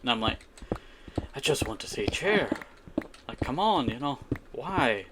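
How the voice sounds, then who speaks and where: A man's voice: medium pitch, very animated, measured pace, very noisy. A man streaming himself playing a survival game, chatting casually with viewers in a rambling way full of "you know" and "I tell you". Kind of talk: casual live-stream chat while playing, answering chat. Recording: keyboard clicks and typing behind the voice.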